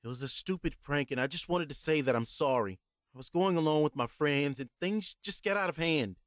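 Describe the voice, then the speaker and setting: A man's voice: fairly high pitch, a little fast, dry recording, clean studio sound, pitched above the performer's own voice, lightly acted. A man voicing his younger self, a nervous and earnest young man speaking up.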